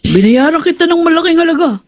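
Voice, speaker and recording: A woman's voice: very high voice, lively intonation, quick pace, no room sound. A woman playing a spiteful, stubborn young woman in a horror radio drama, with a curt, indignant manner.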